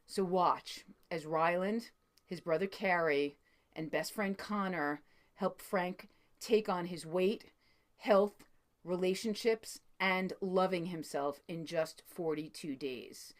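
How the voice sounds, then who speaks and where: A woman's voice: fairly low pitch, slow pace. A middle-aged woman with a New York accent hosting an interview, speaking earnestly and effusively with many fillers. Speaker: podcast host. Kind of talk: interview introduction and chat.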